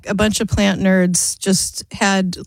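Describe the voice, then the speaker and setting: Scratchy-voiced woman co-host, mid pitch, dry studio sound, curious and chatty. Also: level tone, slow pace, vocal fry, no room sound. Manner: curious, asks questions, laughs easily. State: a little scratchy from a cold. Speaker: radio co-host. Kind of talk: casual radio chat.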